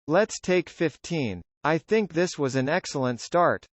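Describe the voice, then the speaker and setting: An actor playing a clinical researcher in an analog horror drama, questioning a test subject in a measured, methodical way. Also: male voice, on the high side, lively intonation, no room sound. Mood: clinical, probing. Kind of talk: analog horror found-footage acting, interview.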